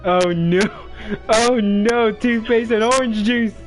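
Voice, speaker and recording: A man's voice: high-pitched, takes it slowly, soft background music. A man chatting casually while playing a game, joking, laughing and swearing. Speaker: game streamer. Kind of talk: casual chat while gaming.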